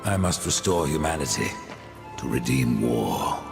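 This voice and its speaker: A grim horseman, terse and commanding, with a very deep, gravelly voice that swings widely in pitch. Loud music plays beneath this video game cutscene. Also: big pitch swings, a little slow, full character voice. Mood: curt.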